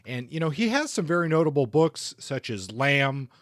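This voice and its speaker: A man's voice: on the high side, sing-song, normal speed, a bit bright, no echo, clean studio sound. A man co-hosting a podcast and delivering a book review. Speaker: podcast co-host.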